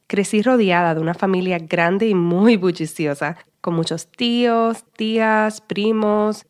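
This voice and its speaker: A woman in her thirties with a Puerto Rican accent hosts her podcast in a calm, measured way, reading from a script. Her voice sits a little low for a woman. She talks steadily and clearly to her listeners. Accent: Puerto Rican Spanish. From Puerto Rico. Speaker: podcast host, psychologist. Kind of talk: scripted solo podcast monologue.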